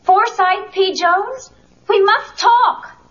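An earnest young female time cop in a comic-book radio play. She speaks extremely high, with dramatic, urgent emphasis. The recording is a dull cassette with some music underneath. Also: slow pace.

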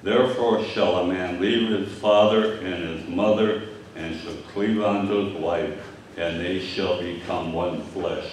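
An older man with a Michigan accent preaching a sermon at a lectern, speaking haltingly with many fillers and repeated words. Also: sits low, fairly even intonation, slight background noise. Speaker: pastor. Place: church hall with stage and screen. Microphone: lectern mic from a distance. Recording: echoey hall, distant mic.

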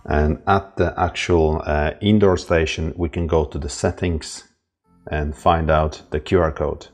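Man, from Ireland, very low voice, relaxed tutorial narration, faint music. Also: measured pace. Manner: relaxed, step-by-step with fillers. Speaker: installer demonstrating setup.

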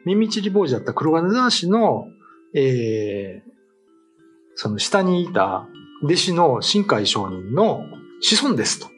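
Animated middle-aged man telling a tale. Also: on the high side, slow pace. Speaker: writer and show regular telling ghost stories. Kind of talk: casual storytelling chat. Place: small meeting room, indoors. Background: faint music.

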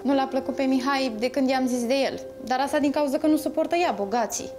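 A woman's voice: high voice, steady tone, music in the background, natural voice. A woman playing a tearful young woman pleading. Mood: upset.

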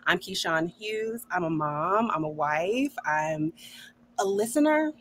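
A woman's voice: medium pitch, expressive, slow pace, a bit bright. A middle-aged woman hosting a podcast on a video call, speaking in an affirming way and laughing often. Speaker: podcast host, neuro coach. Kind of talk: casual podcast conversation. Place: home office.

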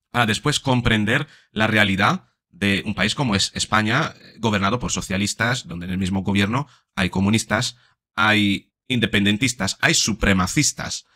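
Guy from spain in his 40s breaking down a speech, low crisp bright voice, close desk mic, lots of tag questions. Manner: explanatory, often adding question tags. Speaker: commentator, psychologist. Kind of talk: casual speech analysis to camera. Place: small home studio room. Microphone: desk microphone up close.

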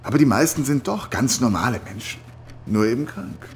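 An actor playing an affable but evasive psychiatric night nurse in a horror radio drama, overly reassuring and hesitant. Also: male voice, mid-range voice, big pitch swings, a little fast, slight background noise. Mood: chatty.

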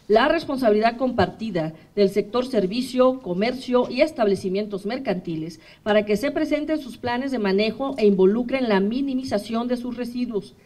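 A woman in her thirties with a Mexican accent, reading a formal statement at a podium microphone for a human rights commission, measured and steady. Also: a little high. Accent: Mexican Spanish. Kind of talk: formal statement read aloud. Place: indoor press room, at a podium.

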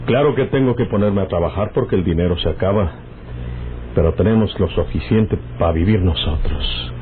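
An actor with a northern Mexican accent playing a folksy, domineering rural outlaw and smooth-talking lover in a radio drama. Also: male voice, low-pitched, normal speed, natural voice. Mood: reassuring. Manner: folksy rustic speech. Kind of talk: old-time radio drama acting. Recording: tinny, noisy old radio recording.

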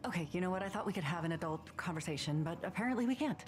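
A woman's voice: fairly low pitch, expressive, racing, lightly acted. A woman playing a flustered, skeptical scientist in a comedic game cutscene, indignant and pleading. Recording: music under the dialogue. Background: music.

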